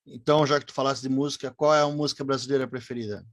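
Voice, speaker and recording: A man hosting a podcast interview, asking questions in a relaxed way and nodding along with short replies. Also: medium pitch, very fast, no echo, clean studio sound. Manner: relaxed, frequent short backchannels.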